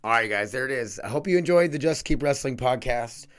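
A man's voice: medium pitch, animated, fairly quick, fairly bright tone, smooth. A man hosting a casual podcast, rambling and swearing easily as he talks. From North Dakota. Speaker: podcast host.

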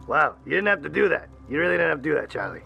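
A man playing a cocky, menacing gang leader in an audio drama, taunting with drawn-out sarcasm. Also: on the high side, big pitch swings, creaky, pushed and bright, faint music behind, heavily acted. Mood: mocking. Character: cocky, mocking, menacing tough-guy male gang leader.

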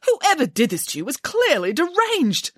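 Casting: woman to play indignant pig nanny for a children's story, high, swooping pitch, outspoken and bossy. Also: wildly swooping pitch, normal speed, no room sound, studio quality. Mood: alarmed, outraged. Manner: emphatic, outspoken, exclamatory.

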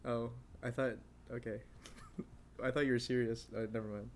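A man's voice: medium pitch, level tone, normal speed, slight background noise. A man in his twenties co-hosting a casual podcast, rambling with lots of fillers and laughing often. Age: 20s. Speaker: podcast co-host. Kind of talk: casual chat.

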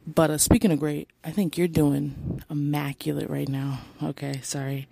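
A woman hosting a rambling solo podcast on her phone, sounding tired, with a raspy, deep voice and a chatty, playfully flirty way of talking, apologizing again and again. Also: little pitch movement, normal speed, mellow. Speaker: podcast host. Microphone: phone held close.

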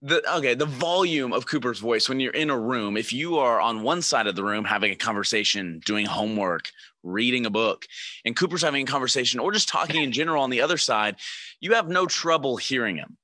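A man's voice: mid-range voice, expressive, a little fast, pushed and bright, no echo. A man hosting a podcast in a conversational, playful, teasing way. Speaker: podcast host. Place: online video call.

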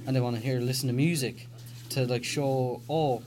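Young male podcast host, casual and rambling, mid-pitched, faint background hiss. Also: steady tone, normal speed. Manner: rambling, many restarts and fillers. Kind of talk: casual chat.

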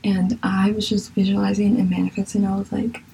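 A woman's voice: medium pitch, little pitch movement, a little fast, vocal fry, warm tone, a little hiss or hum, poor audio. A young woman from Virginia with a Southern accent, chatting candidly as a podcast host, swearing casually and sometimes dropping to a whisper. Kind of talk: casual spiritual chat.

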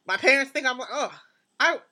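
A blunt, loud woman, co-hosting a casual podcast. Her voice is bright and sharp, and her pitch leaps up and down as she makes her point. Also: animated, normal speed. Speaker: podcast co-host.

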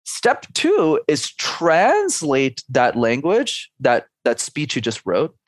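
A man's voice: a little high, wildly swooping pitch, slow pace, no echo. A man in his twenties or thirties, a speech coach, talking energetically in a podcast interview and laying out advice in numbered steps. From Canada.

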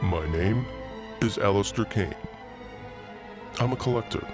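An actor playing a brooding, theatrical occult collector, narrating a scripted horror tale in a measured way with dramatic pauses. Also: male voice, on the low side, over music, lightly acted. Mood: ominous, proud. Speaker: narrator and main character of horror fiction podcast. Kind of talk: scripted first-person horror storytelling.

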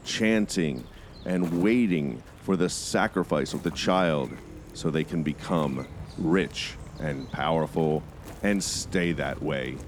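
A man's voice: a bass voice, slow, slight background noise. A man hosting a podcast and narrating a scripted first-person story in a dramatic storytelling manner.

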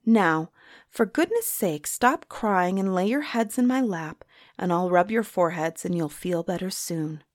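A woman voicing a bold young village girl in a storybook tale, brisk and forthright. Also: on the high side, sing-song, no room sound, studio quality, pitched above the performer's own voice.

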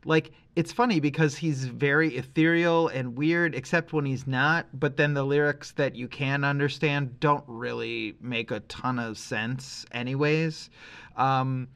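A middle-aged man co-hosting a podcast, talking casually and reflectively, working through his thoughts haltingly with qualifications. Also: medium pitch, fairly bright tone, clean tone. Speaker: podcast co-host.

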